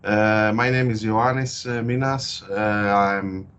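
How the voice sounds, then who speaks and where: A man from Greece with a Greek accent, speaking in an interview as a guest researcher and explaining his work with frequent hesitant pauses. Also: lowish voice, steady tone, fairly bright tone, clear, resonant tone. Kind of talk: interview over a call. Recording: dull lo-fi call audio.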